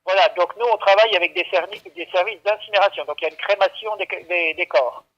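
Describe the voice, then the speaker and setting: Male clinic employee, high-pitched for a man, muffled phone sound; halting, repetitive, patient explanations in unscripted conversation. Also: fairly bright tone. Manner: hesitant, stammering, repeating himself, patiently explaining. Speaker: veterinary clinic staff taking a call. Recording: dull, lo-fi phone line.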